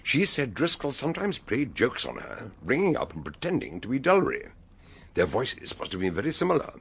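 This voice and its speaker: An actor in a radio drama playing a shrewd, blunt police superintendent with a brusque, knowing authority. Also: male voice, medium pitch, wildly swooping pitch, fairly quick, vocal fry, breathy edge, heavily acted. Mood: explaining.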